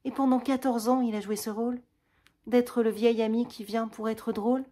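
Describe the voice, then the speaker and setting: A woman's voice: fairly high pitch, level tone, normal speed, mellow, voice pushed up, close to an everyday voice. A woman voicing a passionate noblewoman who speaks urgently and emphatically, pressing with rising insistence. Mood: urgent, pleading. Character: passionate noblewoman, stunned and pleading.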